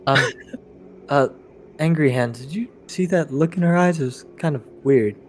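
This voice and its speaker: A man playing a tabletop game, casually describing his character's actions in a halting, informal way. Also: fairly high pitch, a little hiss or hum.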